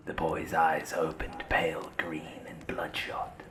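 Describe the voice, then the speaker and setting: Deep, whispering foreboding storyteller. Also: male voice, completely flat delivery, fairly bright tone, heavily acted. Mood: grave. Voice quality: breathy, whispery. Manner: slow, theatrical, archaic phrasing. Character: mysterious old storyteller at a campfire, solemn and foreboding. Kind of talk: fantasy audio drama narration. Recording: roomy, echoing voice.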